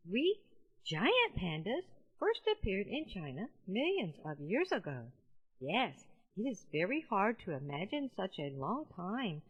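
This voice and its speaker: A woman's voice: mid-range voice, husky, highs cut off, heavily acted. A woman voicing a friendly giant panda in a children's audiobook, playful and talking straight to young listeners. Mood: playful, proud.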